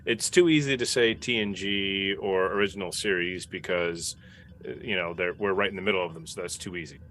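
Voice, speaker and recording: Man, panelist. Crisp bright edge, fairly low, halting conversational delivery, faint noise in the recording. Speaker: cast member and panelist. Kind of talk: casual panel chat.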